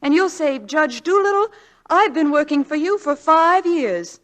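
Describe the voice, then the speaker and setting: An actress playing a devoted, coaxing young wife in a 1940s radio drama, speaking earnestly. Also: female voice, high-pitched, lively intonation, measured pace, a bit bright. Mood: urging, coaxing. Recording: vintage 1940s radio broadcast.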